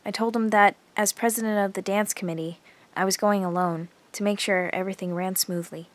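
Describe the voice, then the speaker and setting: A woman's voice: medium pitch, fairly even intonation, brisk, close to an everyday voice. A woman playing an earnest, observant teenage girl who narrates her diary in an audio drama. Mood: confiding.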